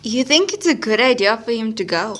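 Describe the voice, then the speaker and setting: A woman's voice: a little high, animated, fairly quick, fairly bright tone. A woman playing a chatty, wry but earnest teenage schoolgirl in an audio drama.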